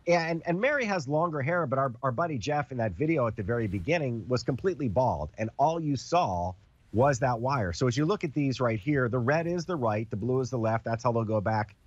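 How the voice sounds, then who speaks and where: Congested man demoing with lively energy. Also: medium pitch, a little fast, dry recording, highs cut off. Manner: animated, explains with everyday comparisons. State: congested from a cold. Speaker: TV host demonstrating a product. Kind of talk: casual demo chat.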